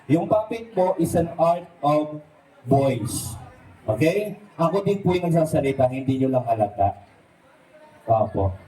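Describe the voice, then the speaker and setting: A man's voice: on the high side, expressive, unhurried, pauses often, dark tone, a little hiss or hum. A man working as a ventriloquist host, giving playful, coaxing live comedy patter to an audience. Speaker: ventriloquist entertainer hosting a birthday party show. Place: party venue with guests. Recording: echoey room, distant mic, faint music.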